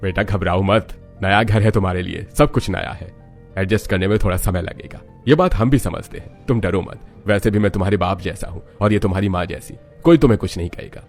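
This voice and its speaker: A man voicing a gentle, jovial older father-in-law in a Hindi cartoon story, fatherly and reassuring. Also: low voice, brisk, soft background music.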